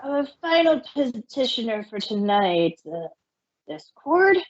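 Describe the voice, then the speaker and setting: A woman's voice: a little high, sing-song, slow pace, muffled recording. A woman voicing a chipper young dragon who acts as court herald in an amateur fan fiction reading. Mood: formal announcing.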